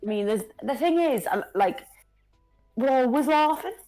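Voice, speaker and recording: A woman with a Welsh accent co-hosting a live stream, chatting casually in halting starts with easy laughter. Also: high voice, lively intonation, over music, low-quality recording. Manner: halting, restarts, laughs easily. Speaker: co-host.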